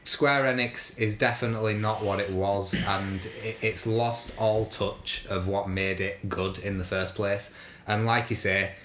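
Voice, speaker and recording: A man with a fairly low voice hosts a casual gaming chat in a blunt, sweary way. His voice rings noticeably in a roomy space. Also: steady tone, a little slow, a bit bright, a little hiss or hum. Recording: roomy, echoing sound.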